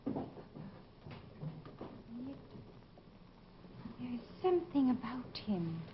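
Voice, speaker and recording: An actress playing a polite, wistful young French innkeeper's daughter in an old radio drama, with a French accent and a demure manner. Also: female voice, on the high side, big pitch swings, normal speed. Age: young adult. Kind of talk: 1940s radio drama acting. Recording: muffled, noisy old radio recording.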